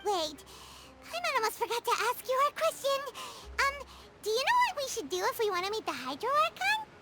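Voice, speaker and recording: Squeaky, very high childlike fairy companion. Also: female voice, very animated, brisk. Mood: curious, surprised. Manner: refers to herself in third person. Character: small floating childlike fairy companion, curious and excitable. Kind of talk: animated game dialogue. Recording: loud music behind.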